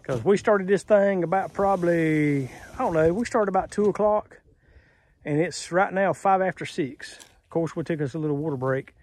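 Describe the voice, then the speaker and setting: A man with a Southern US accent chats casually, folksy and rambling. His voice sits a little higher than most men's. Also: moderate pace, a little mellow. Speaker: homesteader. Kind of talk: casual vlog talk.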